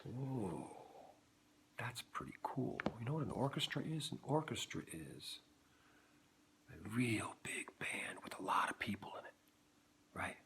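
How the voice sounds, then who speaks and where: Playful, animated man teaching kids music. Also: unhurried, gravelly, long pauses, whispered. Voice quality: low bass speaking voice. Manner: animated, silly, keeps checking in with "right?". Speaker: children's music educator.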